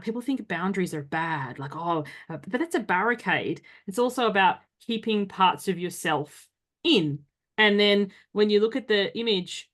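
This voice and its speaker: A chatty, animated woman hosts a casual podcast conversation. Her voice sits in the middle of the range, and she slips into quick impressions of other people's reactions. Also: medium pitch. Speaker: podcast host.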